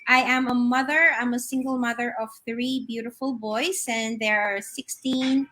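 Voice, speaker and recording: Woman from the philippines, middle-aged, bright fairly high voice, talking over a video call from home, lots of ums and restarts. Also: steady tone, normal speed. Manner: warm storytelling with frequent fillers and restarts. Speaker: guest. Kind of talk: live online interview. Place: home office.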